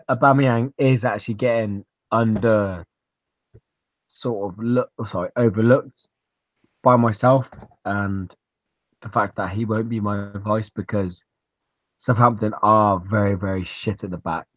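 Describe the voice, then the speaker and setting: A man's voice: lowish voice, no echo. A man co-hosting a fantasy football podcast, chatting informally about football with some swearing, over a call. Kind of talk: casual football chat. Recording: dull, lo-fi call audio.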